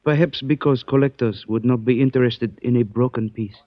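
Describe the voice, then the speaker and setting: An actor playing a suave male antique dealer who speaks in stilted, formal phrases, measured and evasive, in 1940s radio style. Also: mid-range voice, no echo, natural voice. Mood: cryptic, courteous. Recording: dull dry old radio recording.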